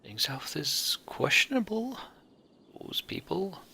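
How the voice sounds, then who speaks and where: Man putting on an odd, high-pitched marsh man. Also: big pitch swings, slow pace, gravelly, pushed and bright, slightly rough, heavily acted. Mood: cryptic, evasive. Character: eccentric backwoods marsh man, cryptic and touchy.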